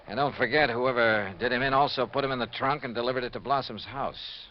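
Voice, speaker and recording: An actor playing a sharp, persistent insurance investigator in 1950s American radio drama, with brisk, clipped, wry delivery. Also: male voice, mid-range voice, natural voice. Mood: businesslike. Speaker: lead actor narrating and playing detective. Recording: muffled old radio recording with noise.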